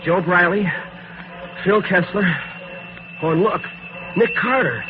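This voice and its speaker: An actor playing an eager, loyal detective's sidekick with an informal manner, in 1940s radio style. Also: male voice, high-pitched, slow pace. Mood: keen, surprised. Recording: muffled, noisy 1940s radio recording.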